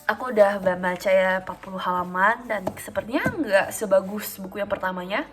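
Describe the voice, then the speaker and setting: A woman of twenty-one talking casually in a home vlog, calm and chatty with frequent fillers. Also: medium pitch, brisk, over music. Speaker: vlogger.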